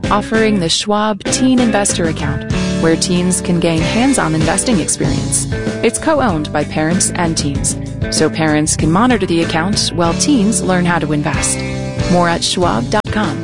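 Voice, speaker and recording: An American woman reads scripted sponsor credits for radio. Her voice is slightly low in pitch, and loud plucked guitar music plays behind her. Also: expressive, normal speed. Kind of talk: scripted radio underwriting announcement.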